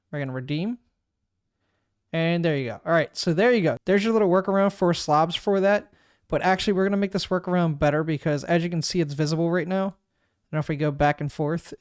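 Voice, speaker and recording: A man casually walking through a streaming software setup, thinking aloud and narrating each click as he goes. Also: on the high side, clean tone, dry recording, clean studio sound. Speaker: streamer giving a software tutorial. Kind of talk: casual screen-recorded walkthrough. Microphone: desk microphone.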